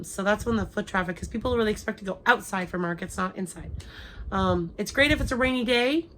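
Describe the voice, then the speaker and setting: A woman's voice: mid-range voice, fairly bright tone, a little hiss or hum, rough recording. A young woman with a cold, chatting casually to her viewers with lots of ums and restarts. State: has a cold. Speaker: crochet vlogger. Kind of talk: casual chat to camera.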